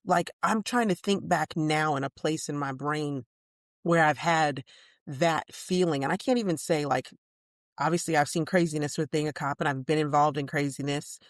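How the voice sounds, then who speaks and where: A woman co-hosting a casual podcast, speaking in a measured, calm way with sudden bursts of big laughter. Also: low voice, dry recording, studio quality. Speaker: podcast co-host. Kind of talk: casual chat.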